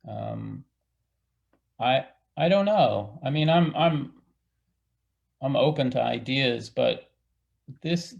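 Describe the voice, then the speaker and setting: Man, theater director on a discussion panel. Gravelly fry, unhurried, halting delivery. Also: mid-range voice, darkish tone. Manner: halting, thinking aloud.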